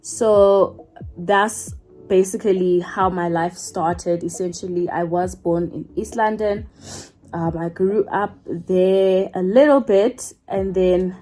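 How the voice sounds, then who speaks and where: A woman's voice: mid-range voice, dark tone. A South African woman in her twenties, talking casually to camera, rambling and correcting herself as she goes. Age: 20s. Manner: chatty, rambling, self-correcting. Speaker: YouTuber. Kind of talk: casual get-to-know-me chat.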